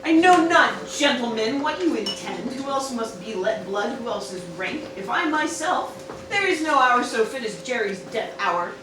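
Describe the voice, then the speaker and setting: A woman's voice: a little high, expressive, moderate pace, natural voice. A woman playing a grieving cartoon wife and mother in an amateur Shakespeare parody radio play, declaiming theatrically. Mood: grieving, grand. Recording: echoey room, distant mic, clear noise.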